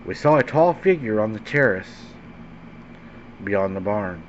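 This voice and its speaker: A man narrating a scary story as host, in a dramatic, suspenseful way with deliberate, emphatic phrasing. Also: fairly low pitch, lively intonation. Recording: dull lo-fi sound with faint background hum.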